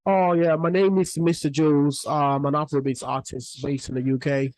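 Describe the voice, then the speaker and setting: Higher-voiced man, relaxed and rambling. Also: moderate pace, dry recording. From Cameroon. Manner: relaxed, rambling with many restarts and fillers. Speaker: Afrobeats artist, guest. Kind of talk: casual interview answers.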